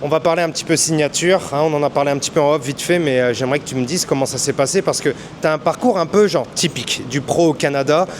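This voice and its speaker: Rambling French-speaking podcast interviewer. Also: male voice, fairly high pitch, fast, few pauses, slight background noise. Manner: rambling questions with many fillers. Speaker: podcast host. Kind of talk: interviewer asking questions. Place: boxing gym.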